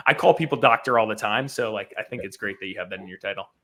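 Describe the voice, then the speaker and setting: A man's voice: lowish voice, fast, crowd noise. A man hosting a podcast interview in a casual, chatty style. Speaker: podcast host, real estate broker.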